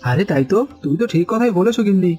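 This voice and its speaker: Man as a high-voiced, quick-talking earnest village vendor. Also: lively intonation, dark tone, muffled recording. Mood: eager. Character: earnest, hard-working village snack seller and husband. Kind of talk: dramatized cartoon dialogue. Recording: music bed behind the voice.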